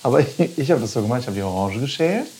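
A woman hosting a casual cooking chat, slightly hoarse today, chatty and self-mocking. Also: rumbling low, expressive, quick pace, darkish tone. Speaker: podcast host. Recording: noisy kitchen with frying.

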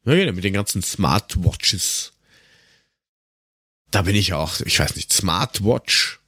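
A man's voice: deep voice, animated, takes it slowly, pushed and bright, slightly rough, dry recording, studio quality. An Austrian man chatting casually as a podcast co-host, rambling and grumbling in a sarcastic, coarse-mouthed way.

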